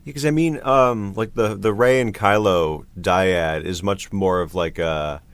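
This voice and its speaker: A man chatting casually about his creative work as a composer, in a halting, hesitant way. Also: deep voice, smooth. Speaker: composer for an audio drama.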